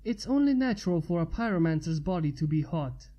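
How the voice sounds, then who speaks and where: A woman voicing a shy, polite male pyromancer with quiet, hesitant speech in a storybook reading. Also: fairly low pitch, expressive, brisk, lo-fi sound, pitched below the performer's own voice. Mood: quiet, gentle.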